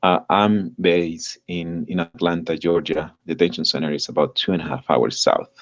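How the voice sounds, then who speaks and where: Very deep-voiced man from El Salvador. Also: steady tone, lo-fi sound. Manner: halting, many fillers and repeated words. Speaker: guest, nonprofit director. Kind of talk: live podcast interview.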